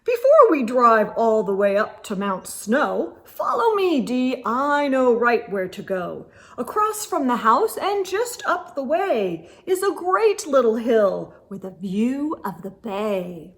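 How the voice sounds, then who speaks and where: A woman's voice: a little high, very animated, slow, exaggerated voice. A woman voicing an eager, confident man from a children's storybook, with emphatic, exclamatory delivery. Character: eager, confident man out skiing with his dog.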